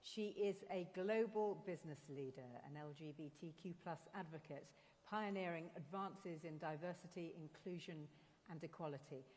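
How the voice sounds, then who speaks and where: A woman hosting a conference, brisk and upbeat as she introduces the next speakers. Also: deep voice, a little mellow. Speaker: event host. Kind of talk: stage hosting. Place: conference hall. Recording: long reverb in a large hall.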